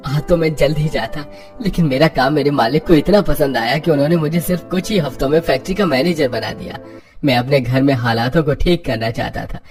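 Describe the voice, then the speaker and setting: Narrator as an earnest grown son, mid pitch. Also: darkish tone, natural voice. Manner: earnest, explanatory. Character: earnest, dutiful grown son returning home prosperous.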